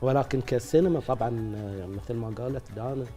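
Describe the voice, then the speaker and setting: A middle-aged man, an actor being interviewed, speaking reflectively at length with pauses. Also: medium pitch, warm tone, soft background music. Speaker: actor guest. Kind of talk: casual interview talk.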